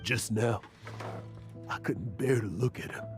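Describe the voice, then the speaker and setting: An actor playing a weary, guilt-ridden old male adventurer in a video game, with an emotional, halting manner. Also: on the low side, natural voice. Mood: melancholy. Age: older. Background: game music.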